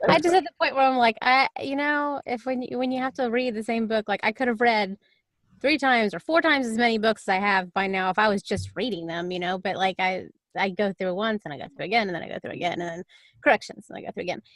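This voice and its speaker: A woman with a fairly high voice talks informally as a podcast panelist. The sound is dry, without room echo. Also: fast talker, fairly bright tone. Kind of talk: casual group podcast chat.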